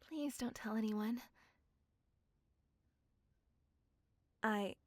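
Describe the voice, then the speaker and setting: A woman playing a courtly, besotted, ancient female vampire in an ASMR roleplay, speaking in formal, ornate, breathy phrases that often sink toward a whisper. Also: on the high side, measured pace, dry recording. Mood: polite, hesitant. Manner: formal, old-fashioned, ornate, pleading speech. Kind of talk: ASMR roleplay, scripted monologue.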